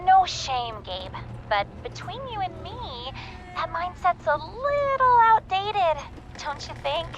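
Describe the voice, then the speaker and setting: High, swooping, cheeky female companion. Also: big pitch swings, slow, very noisy, heavily acted. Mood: gleeful, mocking. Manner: playful, mocking, sly. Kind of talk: video game character banter.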